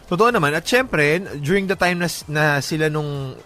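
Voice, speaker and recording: A man's voice: fairly high pitch, animated, normal speed, pushed and bright, a little hiss or hum. A Filipino man hosting a radio love-story show, moving between reading and casual, blunt, joking talk with some English mixed in. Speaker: radio host. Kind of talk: casual advice banter and dramatic reading of listener letters on love. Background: background music.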